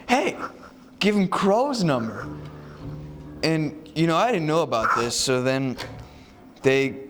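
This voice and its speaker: Rambling man telling a jokey story. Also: medium pitch. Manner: rambling, full of "like" and "you know" fillers; jokey, mock-serious. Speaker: student show presenter.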